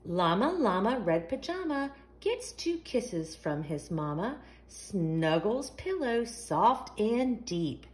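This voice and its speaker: A woman's voice: medium pitch, sing-song, takes it slowly, hardly any pauses, slight background noise. A woman hosting a children's storytime, reading a picture book aloud expressively in a sing-song, question-asking way. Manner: sing-song, asks the young listeners questions.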